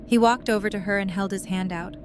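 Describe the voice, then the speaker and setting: A woman's voice: medium pitch, steady tone, brisk, over music. A woman reading scripted third-person story narration for an audio drama.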